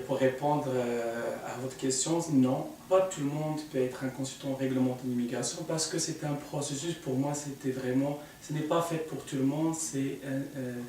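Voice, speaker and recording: A man's voice: mid-range voice, a little mellow, slight background noise. A man from Morocco, an immigration consultant, earnestly explaining on a studio panel step by step, with many fillers. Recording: echoey room, mic far off.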